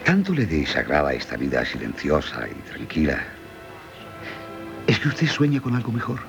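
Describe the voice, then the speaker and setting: Casting: gently ironic gentleman tutor, low Castilian voice with wide melodic swings, courteous old radio-play delivery. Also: huge pitch swings, creaky, lo-fi sound, music in the background, heavily acted. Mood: curious, gentle. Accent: Castilian Spanish. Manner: measured, polite, theatrical. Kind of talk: 1970s Spanish radio drama acting.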